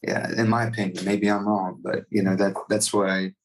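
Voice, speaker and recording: A man's voice: fairly low pitch, flat delivery, normal speed, recorded from a distance, a little hiss or hum. A man, a touring singer, talking casually in an interview with many fillers and restarts. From United States.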